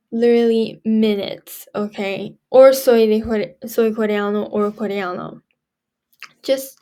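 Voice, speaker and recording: Slow-talking teenage girl tutor. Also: fairly high pitch, darkish tone. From Korea. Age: teens. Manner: chatty, repeats herself for emphasis, many fillers. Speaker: student tutor. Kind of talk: casual recorded lesson.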